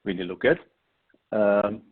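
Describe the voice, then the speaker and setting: A middle-aged man from Austria with an Austrian German accent, giving an unscripted webinar talk, halting and searching for words with frequent restarts. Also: fairly low pitch, breathy edge. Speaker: webinar presenter. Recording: tinny phone-like line.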